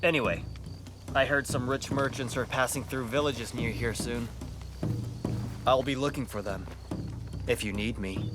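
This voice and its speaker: A man's voice: mid-range voice, a little slow, long pauses, loud music behind the voice, lightly acted. A man playing a cocky young brawler. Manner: boastful, easygoing. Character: cocky young male brawler who can't read.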